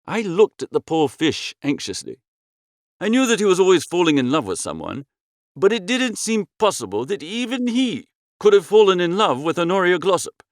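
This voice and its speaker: A man narrating a comic first-person story in an expressive, breezy and theatrical way. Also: sits high, moderate pace, no echo, clean studio sound. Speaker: audiobook narrator.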